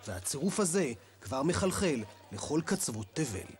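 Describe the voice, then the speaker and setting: A man's voice: fairly high pitch, very animated, very fast. An Israeli man narrating a scripted television report as its reporter. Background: music.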